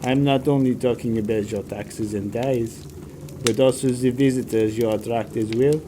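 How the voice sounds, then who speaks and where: A man's voice: mid-range voice, fairly even intonation, moderate pace, background noise, close to an everyday voice. A man playing a smug, falsely genial blackmailing town official in an audio drama, with pompous politeness. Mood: smugly genial.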